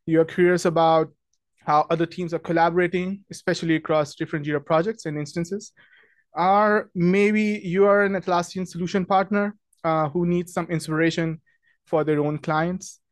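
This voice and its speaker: Young man from Pakistan, friendly webinar host, slightly higher voice, dry close sound, many fillers. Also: steady tone, normal speed, dry recording. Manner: friendly, chatty, many ums and restarts. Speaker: webinar host, product marketing manager. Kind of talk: casual webinar presentation.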